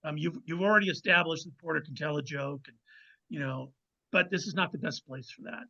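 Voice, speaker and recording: Male author guest: raspy, fairly high voice, halting conversational anecdotes, somewhat lo-fi sound. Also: bright, forceful tone. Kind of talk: casual interview anecdote. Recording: dull, slightly lo-fi microphone sound.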